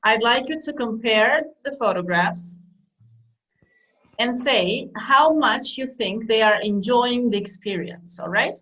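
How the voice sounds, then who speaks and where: Woman, exam examiner, formal measured questions, animated pitch, slow pace, slightly distant from the mic.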